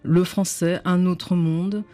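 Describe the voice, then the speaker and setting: A woman's voice: on the low side, level tone, slow pace, warm tone. A woman novelist whose first language was Chinese, speaking in a radio studio interview, reflective and searching for words. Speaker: novelist guest.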